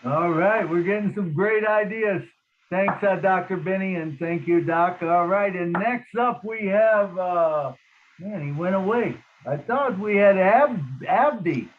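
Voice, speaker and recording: A man hosting an online meeting show, relaxed and folksy, calling guests up one by one over a video call. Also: high voice, measured pace, slight background noise. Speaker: show host. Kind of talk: casual online meeting chat. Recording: tinny phone-like call audio.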